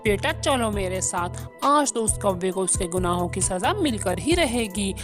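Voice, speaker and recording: A woman's voice: medium pitch, animated, moderate pace, music in the background, lightly acted. A woman voicing a protective, vengeful mother eagle in a cartoon, stern and motherly. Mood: angry, vengeful. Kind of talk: Hindi cartoon voice acting.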